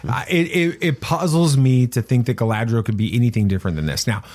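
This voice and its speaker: A man's voice: medium pitch, quick pace, a little mellow. A middle-aged man co-hosting a podcast in casual fan discussion, speaking emphatically and a little preachy, repeating himself for weight. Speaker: podcast co-host.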